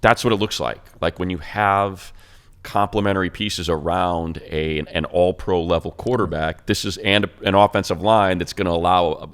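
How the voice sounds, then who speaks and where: A man's voice: sits low, steady tone, normal speed, clean tone. A man who is a radio play-by-play announcer, talking football in an enthusiastic, stat-heavy way on a casual sports podcast.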